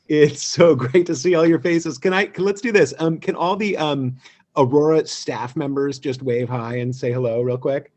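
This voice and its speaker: A man hosting an informal online event over a video call, welcoming people in a halting, conversational way. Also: a little high, darkish tone. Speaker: host.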